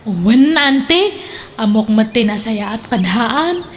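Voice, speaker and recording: A woman's voice: a little high, lively intonation, takes it slowly, slight background noise. A woman playing a pious, meek mother in an Ilocano radio comedy drama, with an Ilocano accent. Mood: earnest.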